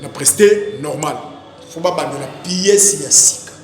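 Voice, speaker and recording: A man with a Congolese accent, an actor being interviewed, talking casually in an animated, rambling way and repeating his phrases. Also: fairly high pitch, normal speed. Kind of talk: casual interview, recounting career. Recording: very echoey reverberant room, music behind. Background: music.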